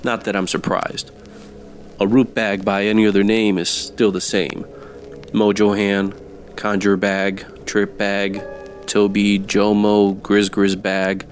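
A man with a low voice narrates a noir audio drama. He tells it in the manner of old-time radio mysteries, with music clearly playing behind him. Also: completely flat delivery, takes it slowly.

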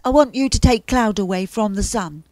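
A woman's voice: mid-range voice, normal speed, a little mellow, dry recording, close to an everyday voice. A woman voicing a grumpy male bear in a children's story, with a blunt, emphatic delivery. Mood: demanding. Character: grumpy male bear who likes his comforts.

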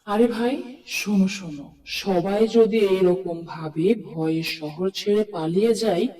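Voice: mid-range voice, a little mellow, lightly acted. A performer voicing a brave, earnest cartoon townsman with pleading emphasis, in a distant recording with long reverb. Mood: urgent rallying. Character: brave, earnest townsman rallying his frightened friends. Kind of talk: cartoon story dialogue.

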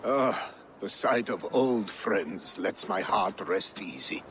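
An actor playing a loyal, solemn older Viking steward with a formal, measured delivery in a video game. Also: male voice, lowish voice, expressive, a bit bright, a little hiss or hum. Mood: solemn, welcoming. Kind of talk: dramatic video game cutscene acting.

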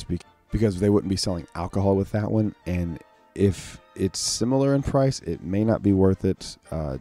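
A man hosting a casual solo podcast in a rambling, enthusiastic way, his voice sounding lower than usual. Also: mellow. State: voice sounding unusually low today. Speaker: podcast host.

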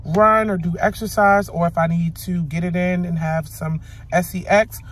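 A woman's voice: on the low side, normal speed. A young woman vlogging from her car, chatting bluntly and loosely with plenty of profanity. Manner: rambling, blunt, profane, animated. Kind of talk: casual car vlog chat.

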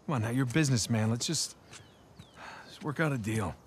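An actor playing an earnest, anxious American adventurer in a video game, speaking in an urgent, imploring way. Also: male voice, mid-range voice, brisk, slight background noise, lightly acted. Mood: pleading, bargaining. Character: earnest, anxious male adventurer pleading and bargaining. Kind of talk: video game cutscene acting.

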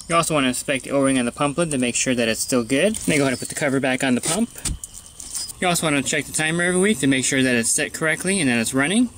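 A man's voice: medium pitch, racing, a bit bright, slight background noise. A pool service technician talking through his weekly routine in a plain, matter-of-fact instructional voice-over.

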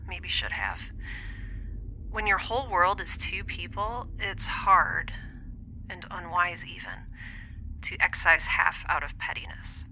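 A woman's voice: lowish voice, bright, forceful tone, a little hiss or hum, full character voice, rough recording. A woman playing a lonely, wistful young space traveller in an intimate audio diary drama, hesitant and introspective, often dropping toward a whisper. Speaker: podcast audio drama lead.

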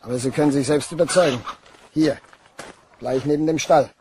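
A man's voice: on the high side, normal speed, mellow, slight background noise, natural voice. A man playing a plain-spoken, obliging villager in a German crime radio play, with a folksy, blunt way of talking. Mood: chatty, obliging.

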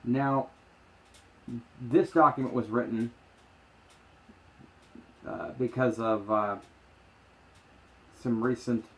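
A man with a mid-pitched voice gives a casual, unscripted explanation. He meanders and halts as he goes, offering his opinions while demonstrating. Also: fairly even intonation, slow pace, pauses often, breathy edge. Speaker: vlogger demonstrating screen reader voices. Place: small room indoors. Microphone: handheld phone, later lying on desk.

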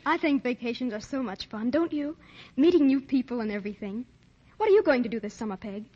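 A woman's voice: on the high side, animated, fast talker, darkish tone. An actress playing a chatty, helpful young woman in a 1940s radio commercial. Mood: cheery. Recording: muffled, raspy old radio recording.

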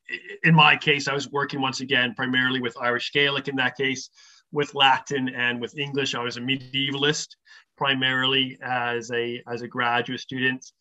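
A man's voice: mid-range voice, bright, forceful tone. A middle-aged man, a professor, explaining things at length in an interview, thoughtful and scholarly, with many ums and restarts. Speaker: professor of English, podcast guest. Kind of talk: relaxed explanatory interview talk. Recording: slightly muffled lo-fi sound.

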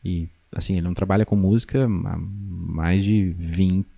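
A man's voice: low-pitched, fairly even intonation, normal speed, gravelly, warm tone. A young man with a southern Brazilian gaúcho accent telling stories casually and acting out remembered dialogue, close on a studio mic. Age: young adult. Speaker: podcast guest, musician. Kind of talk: casual conversational storytelling. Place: small indoor podcast studio. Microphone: close studio mic on boom arm.

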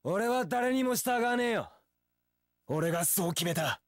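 A man's voice: high-pitched, expressive, measured pace, dry recording, clean studio sound. An actor playing a carefree young wandering swordsman in an action game, breezy, theatrical and swaggering, a playful romantic brawler. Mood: breezy defiance. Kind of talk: Japanese action game voice acting.

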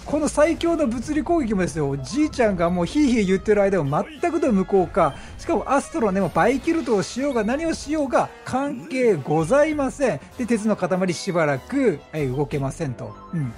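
A man giving chatty, animated gameplay commentary as a gaming streamer, explaining things as he plays and exclaiming at the action. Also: high-pitched, a little fast, few pauses, soft background music. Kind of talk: excited game commentary and explanation.

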